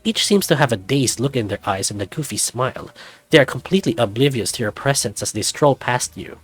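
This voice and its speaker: Husky man narrating with lively lilt. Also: medium pitch, fairly quick, soft background music. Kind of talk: second-person scripted narration.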